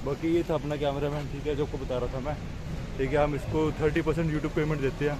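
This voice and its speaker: A man's voice: on the high side, level tone, quick pace. A man, chatting casually and jokingly as a vlogger. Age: 20s. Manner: joking, colloquial. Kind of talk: casual vlog chat. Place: outdoor streets and busy mall. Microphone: phone at arm's length. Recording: very noisy phone vlog sound. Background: loud urban ambience.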